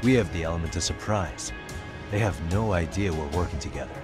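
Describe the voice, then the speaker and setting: Man as cool-headed game detective: very low, measured, determined, matter-of-fact. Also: music in the background, close to an everyday voice. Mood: firm, serious. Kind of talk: video game English dub acting.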